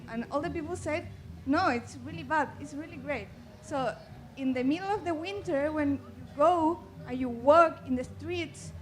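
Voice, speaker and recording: A young woman from Chile speaks up from the audience in English, which is her second language, with a clear Chilean Spanish accent. She is earnest and slightly apologetic and sometimes gropes for words. Her voice sits high. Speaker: audience member asking a question. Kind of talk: public Q&A remark.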